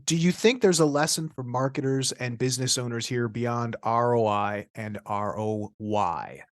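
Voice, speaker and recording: A man's voice: mid-range voice, moderate pace, no room sound, clean studio sound. A man hosting a podcast, asking casual interview questions in a loose, tentative way.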